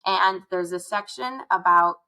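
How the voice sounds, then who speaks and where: A chatty middle-aged woman from Arizona talks in a bright, forceful voice. She tells stories in a casual interview, quoting what she thought at the time. Her pitch sits in the middle. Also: unhurried. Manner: chatty storyteller, quotes her own thoughts. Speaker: guest, curriculum founder.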